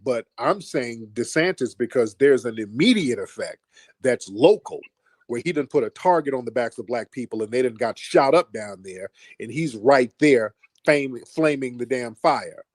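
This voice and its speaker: A man hosts a heated call-in livestream, his voice husky and slightly rough. He talks bluntly and confrontationally, pressing callers with repeated questions. The sound is close and dry. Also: medium pitch, lively intonation, moderate pace, dry recording. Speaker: livestream host.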